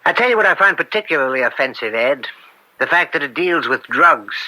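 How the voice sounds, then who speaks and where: An actor playing an older judge in an old-time radio drama, upright and weary, speaking deliberately with a gravelly, dryly sardonic edge. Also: male voice, a little high, expressive, pushed and bright, no room sound, low-quality recording. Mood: indignant, resolute. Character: upright, weary veteran judge and father, proud and stubborn.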